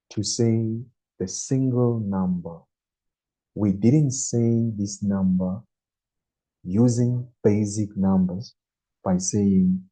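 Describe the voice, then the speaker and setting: A man in his twenties teaching a beginner English lesson, speaking very slowly and deliberately and repeating each number. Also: lowish voice, mellow. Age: 20s. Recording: dull lo-fi recording.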